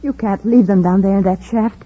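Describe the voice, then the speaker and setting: On a muffled old radio recording, a young captive woman, played by an actress pleads and defies. Her voice is extremely husky and breathless, with the heightened style of a 1950s serial. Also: medium pitch, normal speed, natural voice. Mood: defiant, distressed.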